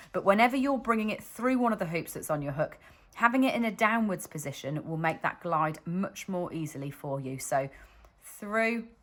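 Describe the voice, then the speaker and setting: A woman's voice: on the low side, fairly bright tone. A woman with a British accent teaching crochet stitches step by step, patiently explaining as she demonstrates. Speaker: crochet instructor. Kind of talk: step-by-step tutorial. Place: indoors at a wooden table, hands only.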